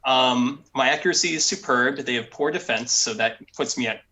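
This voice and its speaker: A man's voice: medium pitch, normal speed, sharp tone. A man playing a tabletop game, chatting casually as a player at the table.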